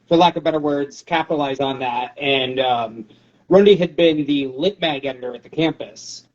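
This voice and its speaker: A man's voice: a little high, moderate pace, lo-fi sound. A man in his forties talking casually in an interview, rambling in a wry, self-deprecating way. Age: 40s. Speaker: poetry show organizer.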